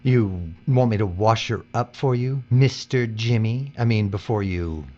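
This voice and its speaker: A man's voice: fairly low pitch, measured pace, a little mellow, close to an everyday voice. A man playing a weary, desperate worker with simmering hatred in an audio drama, speaking with intensity. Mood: tense, submissive. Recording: muffled audio with faint hum.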